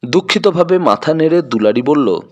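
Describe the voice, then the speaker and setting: A man's voice: fairly high pitch, expressive, moderate pace, a little mellow. A man narrating a scripted detective audio story in a dramatic storytelling style.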